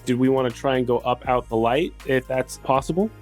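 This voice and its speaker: A man's voice: medium pitch, brisk, a little mellow, soft background music. A man chatting casually as a player in a tabletop game.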